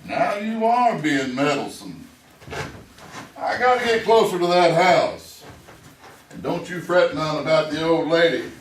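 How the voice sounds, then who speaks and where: A brazen hillbilly gunman in an old radio Western, sly and mocking. His Ozark drawl is very slow and swings widely in pitch. It sounds roomy, as on an old recording. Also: expressive, recorded from a distance, slight background noise. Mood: sly, cocky. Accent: American Ozark hillbilly. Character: brazen, sly male backwoods feudist bent on killing. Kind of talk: 1950s Western radio drama acting.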